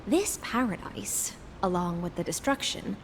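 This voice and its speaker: Measured, loyal lady knight. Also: on the low side, expressive, in a large hall, a little hiss or hum, poor audio. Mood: formal, composed. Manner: formal, measured. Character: loyal, courteous woman knight of the sea. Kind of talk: dramatic anime game acting. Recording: faint background music.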